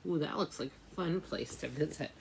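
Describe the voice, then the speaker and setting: A woman reading a picture book aloud to young children as a library storytime host, with dramatic, drawn-out phrasing and chatty asides. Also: deep voice, creaky, slight background noise.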